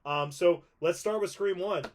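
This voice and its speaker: A man's voice: high-pitched, a little slow. A man in his thirties casually explaining things to viewers, rambling a little and repeating points for emphasis. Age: 30s. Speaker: video host and prop collector.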